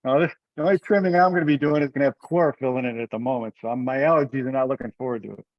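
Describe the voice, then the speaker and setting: Male meeting chair, relaxed and colloquial, mid-pitched, on slightly muffled call audio. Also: racing, no echo. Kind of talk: informal online meeting talk. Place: online video conference call.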